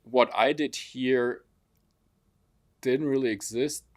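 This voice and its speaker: A man's voice: medium pitch, fairly even intonation, slow pace, a little mellow. A man from Germany with a German accent, telling personal stories casually as an interview guest. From Frankfurt, Germany. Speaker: interview guest, construction business founder.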